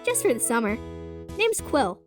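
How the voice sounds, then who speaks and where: A woman's voice: fairly high pitch, sing-song, moderate pace, over music. A woman playing a casual, upbeat young teenage girl who teases bluntly in an audio drama. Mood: polite, wry. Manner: blunt, slangy, sarcastic.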